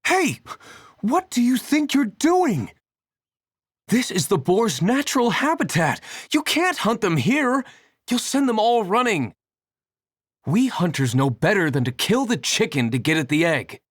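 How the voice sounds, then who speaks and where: A stern hunter in a video game, protective of the rules. The voice is husky, with lively pitch movement and a firm, scolding delivery. The recording is dry. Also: female voice, measured pace, no echo. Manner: reproving, firm. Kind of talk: video game character acting.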